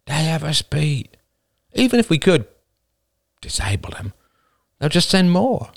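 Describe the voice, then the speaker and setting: A man's voice: on the high side, huge pitch swings, a little slow, creaky, no echo, studio quality, voice pushed up, exaggerated voice. A man voicing a weary, resigned clan leader with a grave, sighing manner in an audiobook story.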